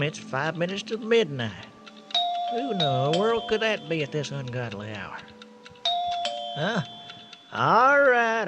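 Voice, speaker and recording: A man's voice: high-pitched, full character voice. An actor playing a bumbling, good-natured country man with a Southern accent and a folksy, stammering way of talking in a comic radio drama. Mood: groggy, grumbling. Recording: noisy radio drama recording.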